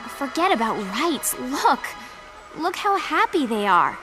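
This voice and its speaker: Brash, higher-voiced young woman. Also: big pitch swings, a little slow, music in the background. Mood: sarcastic. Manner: blunt, sardonic. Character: brash, sarcastic, impatient young woman in fantasy world. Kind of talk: video game audio drama acting.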